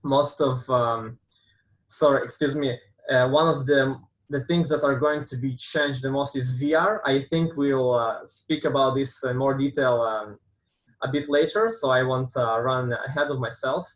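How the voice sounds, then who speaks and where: A man's voice: mid-range voice, measured pace, low-quality recording. Hesitant man, non-native English speaker. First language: not English. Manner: hesitant, many fillers and restarts. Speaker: guest, game dev consultant and company founder. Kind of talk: podcast interview answers.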